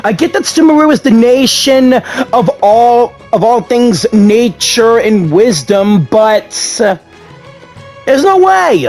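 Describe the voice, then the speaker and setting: High-voiced guy doing gameplay commentary. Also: big pitch swings, takes it slowly, darkish tone. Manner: informal, interjecting, slangy. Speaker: gamer reading and reacting to a story game. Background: game music.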